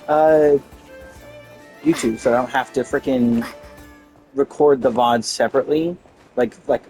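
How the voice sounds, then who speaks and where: A man's voice: mid-range voice, dark tone, rough recording. Rambling man livestreamer, music behind. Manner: rambling, self-interrupting, mildly exasperated slangy grumbling. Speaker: game livestreamer. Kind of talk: casual rambling stream chat.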